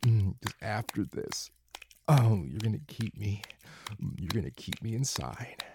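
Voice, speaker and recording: A man speaking in a slow, breathy intimate roleplay, moaning and dropping into whispers. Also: lowish voice, animated. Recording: clear background noise with clicking.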